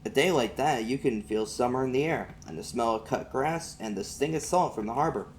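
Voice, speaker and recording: A man with a medium-pitched voice reads a story aloud as an amateur narrator. The telling is first-person and folksy, recorded with a little noise underneath. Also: a little fast. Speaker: amateur audiobook reader.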